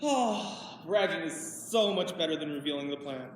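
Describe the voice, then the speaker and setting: A woman's voice: low voice, expressive, moderate pace, slight background noise. A woman voicing a theatrical villain who brags and cackles over a victory. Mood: gloating. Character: gloating triumphant stage villain. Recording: echoey hall, from far off.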